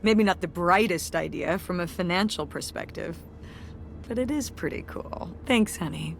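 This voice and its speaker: A wry, low-voiced mother. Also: animated, normal speed, gravelly, a little hiss or hum. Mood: warm. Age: middle-aged. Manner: dry, self-deprecating humor. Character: wry, tired middle-aged mother who runs a community theater. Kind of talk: naturalistic game voice acting.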